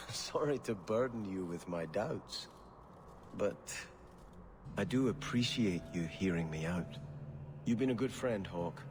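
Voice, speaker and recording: Pious exiled prince, low voice, measured, earnest, video game drama, noisy ambience. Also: male voice, animated. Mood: grateful, sincere. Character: devout exiled prince torn between faith and throne.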